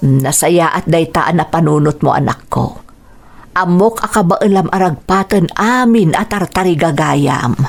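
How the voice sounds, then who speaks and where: A woman's voice: on the low side, lively intonation, a little slow, creaky, full character voice. A woman playing a loving, self-sacrificing mother of a grown son in a radio drama, tender and earnest. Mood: tender, concerned.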